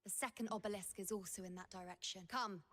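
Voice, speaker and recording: A woman acting a bright, teasing huntress. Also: medium pitch, steady tone, fast, lightly acted. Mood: shrewd, focused. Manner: teasing, self-assured. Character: confident, teasing huntress woman, playful and shrewd. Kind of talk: scripted video game voice acting.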